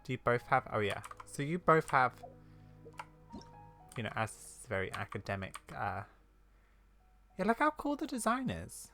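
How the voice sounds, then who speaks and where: A woman's voice: extremely low pitch, very animated, measured pace, long pauses, over music. A woman giving casual let's play commentary, musing and thinking aloud as she plays. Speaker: gamer commentating on a playthrough.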